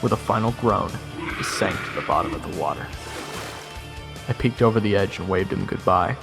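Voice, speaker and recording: A man's voice: deep voice, animated, moderate pace, creaky. A man giving first-person dramatic scripted narration as the hero of a fantasy audio roleplay. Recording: long reverb, music under the voice.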